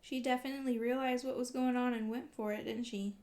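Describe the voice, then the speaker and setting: A woman with a fairly high voice gives casual, off-the-cuff commentary as she reads. She is gushing and exclamatory, clearly invested in the characters she reacts to. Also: fairly even intonation, fast talker, a little mellow. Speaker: manga read-along vlogger.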